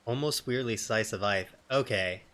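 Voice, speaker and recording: A man's voice: lowish voice, normal speed, a bit bright, smooth. A man giving casual, blunt let's play commentary with some swearing. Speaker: game streamer doing a let's play. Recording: game music behind the voice. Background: video game music.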